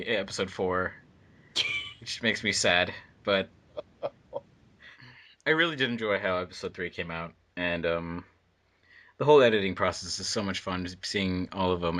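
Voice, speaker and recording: A man's voice: sits low, pushed and bright. A man chatting casually as an editor on a commentary track, rambling and circling back over his points. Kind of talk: casual group commentary chat.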